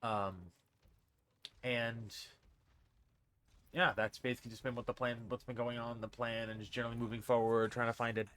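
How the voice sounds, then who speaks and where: A man's voice: mid-range voice, little pitch movement, moderate pace, pauses often, a bit bright. A man from Massachusetts with a faint Boston accent and a stuffy, congested voice, rambling through a casual vlog in his bedroom. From Massachusetts, USA. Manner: rambling, with many restarts and fillers. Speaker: streamer and vlogger. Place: his bedroom with a fan running. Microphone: desk mic on a stand.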